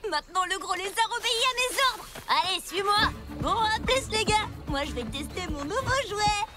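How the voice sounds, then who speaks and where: A woman's voice: extremely high pitch, expressive, normal speed. A woman voicing a bossy, boastful, mocking young boy in a cartoon dub. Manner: taunting, boastful. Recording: loud cartoon music behind the voice.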